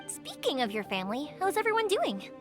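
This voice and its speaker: An actress playing a cheerful young fireworks maker in a video game, bubbly and eager. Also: female voice, high-pitched, wildly swooping pitch, brisk, over music. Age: young adult. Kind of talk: game voice acting.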